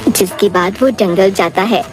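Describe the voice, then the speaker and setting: A woman's voice: mid-range voice, fairly even intonation, moderate pace. Woman narrating a story over music.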